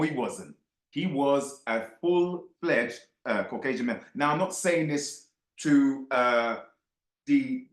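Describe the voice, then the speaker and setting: A man giving impassioned off-the-cuff commentary to his followers, emphatic, repeating phrases and throwing rhetorical questions at listeners. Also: mid-range voice. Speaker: book club host. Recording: roomy, echoey sound.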